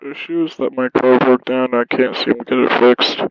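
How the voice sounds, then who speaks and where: A man's voice: mid-range voice, fairly even intonation, normal speed, no room sound, lightly acted. A man playing a stranded husband phoning a friend for help in an amateur horror series. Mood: worried, pleading. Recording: dull lo-fi voice call playback.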